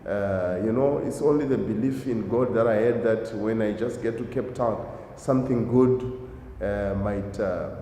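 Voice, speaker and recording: A man's voice: on the low side, a little slow, dark tone. A middle-aged man from Zimbabwe answering interview questions about his life, hesitant, with many fillers and restarts. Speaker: guest, driving school owner. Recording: echoey hall, faint noise.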